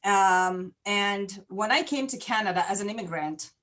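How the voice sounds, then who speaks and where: A woman's voice: medium pitch, moderate pace, fairly bright tone. A woman from Hungary, a guest on a casual livestream interview, talking in a chatty way and often correcting herself. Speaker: guest, digital marketer.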